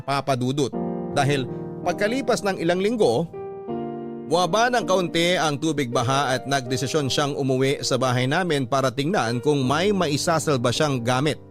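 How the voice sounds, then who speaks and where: A man's voice: medium pitch, close to an everyday voice. A man narrating as a humble letter sender recalling hardship, reflective and earnest, with a Filipino accent. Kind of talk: first-person dramatic narration of a letter. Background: music under the narration.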